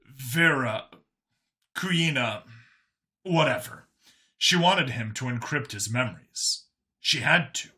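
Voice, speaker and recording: A man's voice: mid-range voice, animated, slow pace, pauses often, sharp tone. A man narrating scripted fiction as an audiobook reader, with dramatic delivery.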